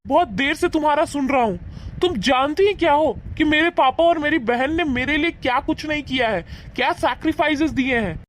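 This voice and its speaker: A man voicing a devoted adult son, earnest and protective of his family, in an animated moral story. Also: very high-pitched, fairly quick. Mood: angry, defiant.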